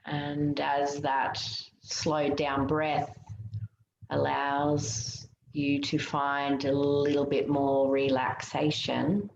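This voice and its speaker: A woman leading a guided meditation in a soothing, gentle way, giving unhurried instructions with pauses. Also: low voice, completely flat delivery, vocal fry. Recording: muffled, roomy, noticeable background hiss.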